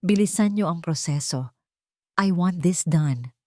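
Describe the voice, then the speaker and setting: A woman's voice: on the low side, lively intonation, measured pace, a little mellow, dry recording, studio quality. A woman voicing a cold, businesslike wealthy mother who speaks in a cool, clipped, firm way. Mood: low, firm.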